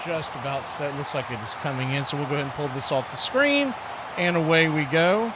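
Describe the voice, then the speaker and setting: A man's voice: a little high, lively intonation, a little fast, heavy background noise. A man doing live football play-by-play, mixing clipped calls with folksy, chatty asides. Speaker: play-by-play announcer and stream host.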